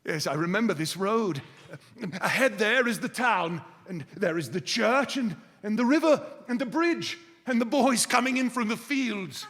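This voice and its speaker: A man's voice: high voice, lively intonation, moderate pace, fairly bright tone. A man playing a miserly, nervy old man in live radio theater, theatrical and hesitant, given to muttering. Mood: wistful. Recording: reverberant live theater hall.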